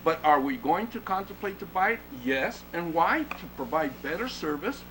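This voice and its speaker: A man with a high voice acting as city manager, walking a resident through the details in a measured, explanatory tone. The council chamber recording carries a lot of background noise. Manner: measured and explanatory, with fillers and restarts. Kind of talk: answering questions at a council meeting. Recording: noisy meeting-room recording.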